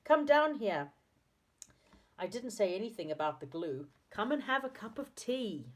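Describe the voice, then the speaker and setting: A woman voicing a hearty, fond male storybook character who exclaims loudly to an old friend. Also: medium pitch, lively intonation, a little fast, pitched above the performer's own voice, natural voice.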